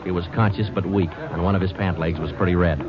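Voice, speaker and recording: Man acting a low, clipped, deadpan police detective. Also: fast talker, natural voice. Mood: deadpan, matter-of-fact. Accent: American. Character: terse male police detective sergeant, weary and businesslike. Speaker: narrator and lead actor. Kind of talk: 1940s-50s radio crime drama narration. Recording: muffled old radio recording, loud music.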